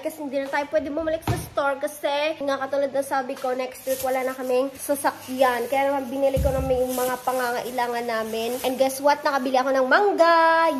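A woman's voice: high-pitched, a little hiss or hum. A woman in her thirties with a Filipino accent, chatting casually and playfully to her vlog followers, recorded on a handheld phone at home. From Philippines. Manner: chatty, informal, playful asides. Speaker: vlogger. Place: indoors at home, kitchen and living area. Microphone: phone at arm's length.

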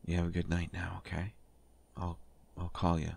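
A man playing an affectionate, bashful partner in an intimate roleplay audio, talking haltingly with stammers and gentle teasing. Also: deep voice, completely flat delivery, gravelly, exaggerated voice. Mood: tender, halting. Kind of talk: intimate roleplay audio acting, one side of a conversation.

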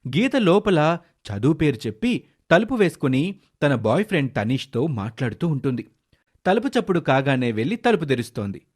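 A man's voice: a little high, big pitch swings, brisk, breathy edge. A man narrating a scripted moral story as the storyteller.

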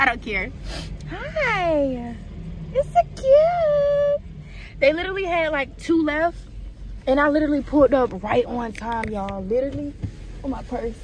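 A woman in her early twenties chatting casually to her phone camera in a vlog, slangy and excitable, squealing high when thrilled. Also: background noise. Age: early 20s. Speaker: vlogger. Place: apartment rooms, then parked car and outdoor parking lot. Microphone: phone held at arm's length.

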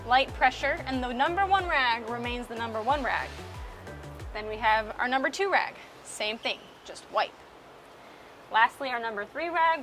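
A woman's voice: fairly high pitch, fairly bright tone. A young woman co-hosting a boating vlog, explaining each step of a job in an upbeat, step-by-step way inside a big boat storage warehouse. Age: young adult. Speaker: co-host of a boating channel. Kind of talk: casual how-to vlog narration.

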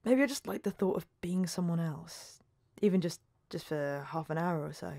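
An actress with a British accent playing a downtrodden, hesitant call-centre worker, halting and informal, in an audio drama. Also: female voice, lowish voice, vocal fry, dark tone, no room sound, studio quality. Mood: wistful, embarrassed.

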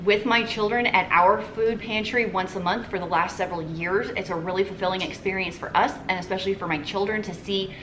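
A woman's voice: medium pitch, steady tone, brisk, hardly any pauses, background noise. A woman in her thirties talking casually to the camera as a budget cooking vlogger, earnest and practical as she explains prices step by step. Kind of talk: casual vlog talk to camera. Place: living room, sitting on a couch.

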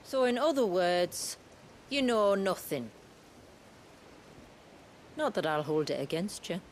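An actress in a video game cutscene playing a proud, solemn envoy of a hidden tribe, speaking formally and with poise. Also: female voice, lowish voice, very animated, slow, slight background noise.

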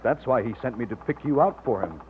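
An actor playing an earnest, agitated young man torn by love, melodramatic and halting, in an old-time radio romance. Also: medium pitch, expressive, lightly acted. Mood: troubled. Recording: scratchy old radio, narrow muffled band.

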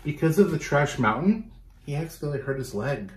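A man in his thirties reading a picture book aloud to children, pausing to ask questions and explain, recorded in a small room. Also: a little high, animated. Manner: instructive, pausing to question and explain to young listeners. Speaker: children's storytime reader. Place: small bedroom, indoors.

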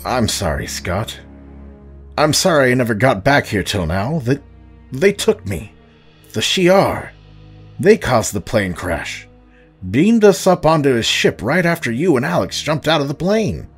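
A man playing a remorseful middle-aged space pirate, earnest and halting, in an audio drama. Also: mid-range voice, sing-song, husky, soft background music.

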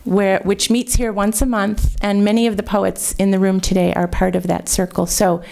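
A woman's voice: mid-range voice, flat delivery, moderate pace, gravelly, darkish tone. A woman in her sixties hosting a library poetry event, introducing guests in a friendly, informal way at a lectern. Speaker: event host. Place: library meeting room. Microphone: lectern microphone.

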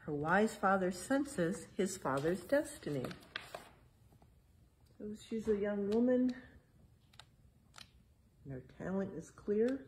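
A middle-aged woman reading a picture book aloud to children in a museum hall, spelling out each page title and then explaining it gently. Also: medium pitch, a little hiss or hum. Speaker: museum storytime reader. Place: indoor museum exhibit hall.

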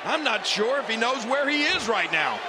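A man playing an excitable wrestling color commentator in a video game, calling the action with exclamatory reactions. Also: extremely high pitch, moderate pace, over a noisy crowd, very noisy. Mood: excited.

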